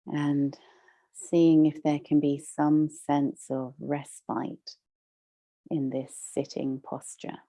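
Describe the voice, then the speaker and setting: Woman doing guided meditation, really soft mellow tone, low pitched, soothing n unhurried w long pauses. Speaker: meditation teacher.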